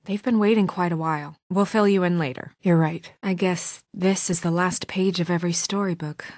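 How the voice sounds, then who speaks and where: A woman playing a composed, determined, guarded young fairy-tale heroine in a video game, speaking in an earnest, firm, measured way. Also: fairly low pitch, steady tone, a little mellow, dry recording, studio quality, close to an everyday voice. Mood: grave, businesslike. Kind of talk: naturalistic video game voice acting.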